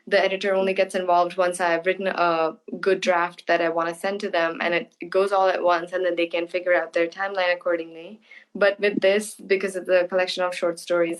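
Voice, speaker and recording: A woman's voice: lowish voice, rough recording. A woman author being interviewed, talking casually and thoughtfully, often restarting her sentences. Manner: thoughtful, halting with restarts and soft laughs.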